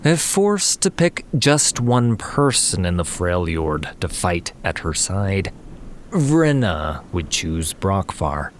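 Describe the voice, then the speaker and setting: A man narrating a fantasy audiobook in a dramatic, measured and solemn scripted style. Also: low-pitched, big pitch swings, a little hiss or hum. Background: music.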